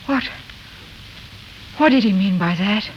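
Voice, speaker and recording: An actress playing an anxious, loyal, principled frontier wife, emotional and pleading in an old radio melodrama. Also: female voice, medium pitch, lively intonation, takes it slowly, muffled recording. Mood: worried. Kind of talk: 1930s radio melodrama acting. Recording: noisy 1930s radio recording.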